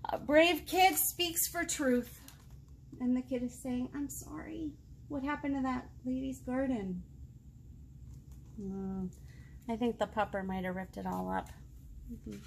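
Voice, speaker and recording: A woman in her thirties hosts a children's storytime with a high voice and an unhurried pace. She reads expressively and pauses to put questions to her young listeners. There is clear room noise behind her. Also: rough recording. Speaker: children's librarian, storytime host. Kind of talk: reading a picture book aloud to young children, with asides. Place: indoor room, seated.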